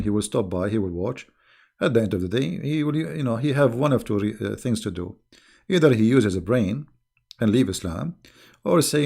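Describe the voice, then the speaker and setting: Gravelly man mocking his critics. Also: lowish voice. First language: Arabic. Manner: animated, mocking, scornful. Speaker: online religious debater. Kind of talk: casual monologue to viewers.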